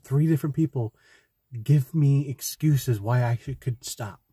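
A young man talking through a personal vlog from a parked car, slow, halting and heartfelt, with frequent restarts. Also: medium pitch, warm tone, slightly rough, dry recording, studio quality. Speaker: vlogger. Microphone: phone camera close in front.

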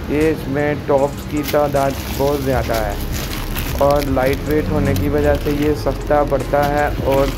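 Brief: man selling wholesale stock, monotone pitch, phone-camera sound, heavy music bed. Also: low-quality recording. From Pakistan. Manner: plain, repetitive sales pitch. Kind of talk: product showcase. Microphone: handheld phone camera.

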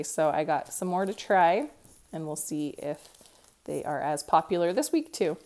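A woman's voice: fairly low pitch, darkish tone. A woman in her thirties chatting casually through a home cooking vlog voice-over, with light laughs. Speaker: family vlogger.

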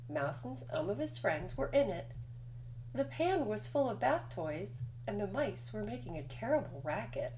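A woman reading a children's picture book aloud as narration, with expressive storytelling delivery. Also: medium pitch, moderate pace, slight background noise, rough recording. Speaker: storybook reader.

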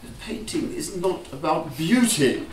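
A man's voice: a little high, lively intonation, measured pace. An older man giving a theatrical scripted reading as an actor in a small room. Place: small room with live audience. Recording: roomy, distant, noisy event recording.

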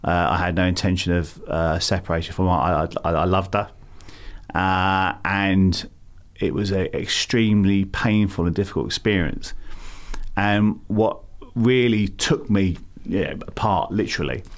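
A man's voice: low voice, steady tone, unhurried. A middle-aged man with a London accent, answering interview questions with many fillers and restarts. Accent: London English. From England. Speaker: campaign founder, guest.

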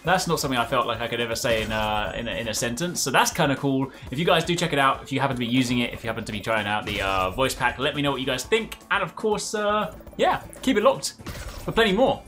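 Animated male gamer over game music. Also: medium pitch, fast talker. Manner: reactive, exclamatory, chatty. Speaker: gaming video creator. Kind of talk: excited live gameplay commentary. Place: indoor room at a desk.

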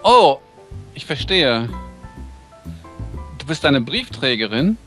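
A man's voice: mid-range voice, very animated, slow, bright, forceful tone, soft background music, exaggerated voice. A man playing a friendly, polite and slightly hesitant schoolboy love interest in a visual novel. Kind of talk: visual novel dub reading.

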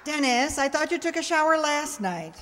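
A woman's voice: high voice, sing-song, normal speed, a crowd in the background, noisy background. An older woman playing a bossy, exasperated, sardonic mother in an old-time radio comedy. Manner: scolding, sardonic. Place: amateur stage reading in a hall. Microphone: stand microphone. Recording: echoey stage in a large hall.

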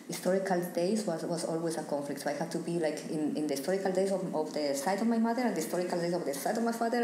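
A woman's voice: on the low side, warm tone, echoey room, a little hiss or hum, rough recording. A young woman from Ecuador, an artist talking in a casual interview and often stopping to search for words. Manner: halting, searching for words, many restarts. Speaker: artist guest.

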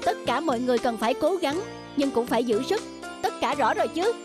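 High-pitched young cartoon athlete. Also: female voice, lively intonation, quick pace, music in the background. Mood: encouraging. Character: young male athletes and coach on cycling team. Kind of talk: dubbed cartoon dialogue.

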